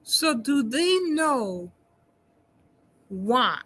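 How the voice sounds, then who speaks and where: A woman hosting a talk show, asking questions in a warm, encouraging manner. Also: high-pitched, very animated, extremely slow. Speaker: host. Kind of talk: interview conversation.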